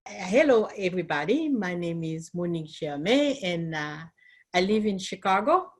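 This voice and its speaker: A middle-aged Haitian woman who is a nurse and educator, telling her story in an earnest, thoughtful way with pauses and fillers. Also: lowish voice, very animated. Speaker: nurse and nursing educator, interviewee. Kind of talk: recorded interview, personal recollection.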